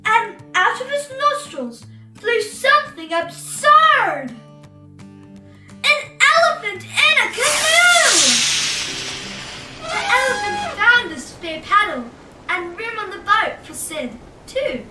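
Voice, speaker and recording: A woman reading a children's storybook aloud as host, in a theatrical, exclamatory way with drawn-out emphasis. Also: very high voice, very animated, pushed and bright, far from the mic. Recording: roomy echo, off-mic, music bed.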